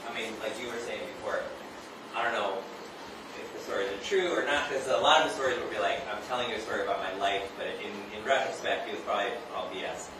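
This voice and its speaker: A man's voice: lowish voice, fast talker. Echoey, far-off man from Hawaii, casually rambling. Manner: rambling, conversational, frequent "like" and "right?". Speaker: audience member, a teacher, asking a question. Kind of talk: casual anecdotal question. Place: audience at a live event. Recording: distant, very echoey, noisy room recording.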